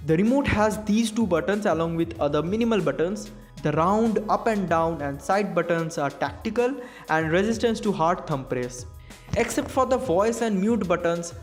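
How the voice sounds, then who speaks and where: A young man with an Indian English accent, narrating a scripted gadget review in a high, light voice. His delivery is clear, measured and explanatory. Soft music plays faintly underneath. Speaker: tech reviewer. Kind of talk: scripted product review voice-over.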